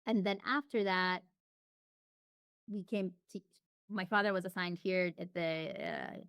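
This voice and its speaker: A woman's voice: fairly low pitch, fairly even intonation, measured pace, fairly bright tone, smooth, dry recording. A woman as an interview guest, talking casually and reflectively with frequent restarts and fillers. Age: adult.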